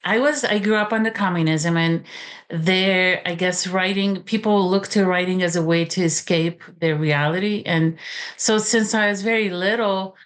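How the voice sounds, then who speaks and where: A woman in her forties speaks with a Romanian accent, English not her first language. She talks in a halting, reflective way, with many pauses and restarts. Her pitch is on the low side. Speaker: author and college teacher. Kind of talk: interview guest talk.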